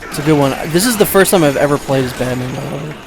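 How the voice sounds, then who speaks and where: A man giving casual, rambling let's play commentary, second-guessing himself out loud and swearing offhandedly. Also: fairly high pitch, fast talker, noisy background.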